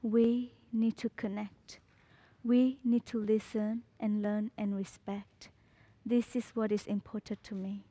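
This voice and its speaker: A teenage girl from Burma, a student, reading her personal story in a slow, measured, deliberate way. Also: mid-range voice, level tone, warm tone, dry recording.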